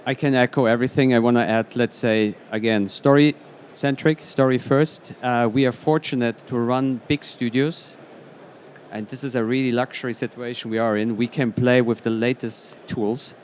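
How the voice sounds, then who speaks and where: A man's voice: medium pitch, fairly even intonation, normal speed, a little mellow. A man giving his remarks as a panelist in a live discussion about his work. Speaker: panelist from a studio operation. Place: conference event panel. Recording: live panel with background ambience noise.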